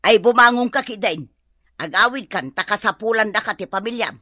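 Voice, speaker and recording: A woman's voice: medium pitch, brisk, pushed and bright, dry recording, clean studio sound. An actress playing an exasperated, scolding mother in an Ilocano comedy radio drama, with an Ilocano accent. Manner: nagging. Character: scolding mother, exasperated with lazy grown man.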